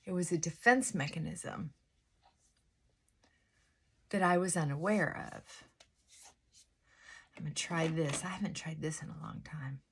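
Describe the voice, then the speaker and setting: A middle-aged woman vlogging casually while doing her makeup, reflective and confessional, with a fairly high voice she deliberately pulls down and soft whispered asides. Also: slightly rough. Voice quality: fairly high-pitched voice she works to pull down. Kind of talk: casual get-ready-with-me chat.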